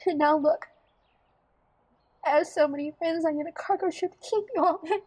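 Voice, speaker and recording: A woman playing a down-to-earth, warm-hearted young bride in an audio drama, natural and wry in delivery. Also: high voice, moderate pace, natural voice, poor audio. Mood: moved.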